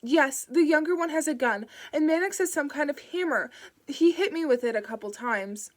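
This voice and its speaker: A woman's voice: high-pitched, expressive, moderate pace, pitched above the performer's own voice. A woman voicing a frantic young mother who pleads on the phone, breaking into sobs and swearing. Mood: furious.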